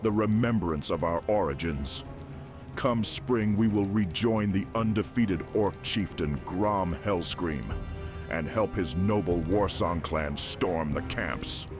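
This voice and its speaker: A man's voice: low-pitched, slow. A man voicing a proud, idealistic young orc shaman in fantasy game dialogue. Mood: defiant. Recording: loud music under the voice. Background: loud music.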